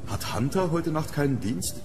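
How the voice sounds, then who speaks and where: An actor playing a wealthy, polite but uneasy gentleman archaeologist in a horror audio drama, speaking formally and deliberately. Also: a little high, sing-song, over music. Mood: courteous. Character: rich, famous, courteous but uneasy English gentleman archaeologist. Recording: noisy recording with background ambience.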